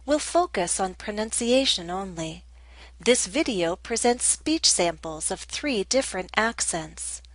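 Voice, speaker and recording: An American woman with a General American accent gives a scripted English lesson in a clear, measured way. Her voice sits at a middle pitch. The recording is dry and close. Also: animated, no room sound, poor audio. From United States. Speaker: English teacher.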